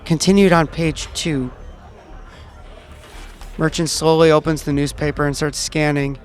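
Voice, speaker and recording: A man playing a tabletop game, improvising narration and character lines in a halting, stammering way. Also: on the high side, level tone. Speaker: player in a tabletop game podcast. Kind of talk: improvised storytelling, acting out a character.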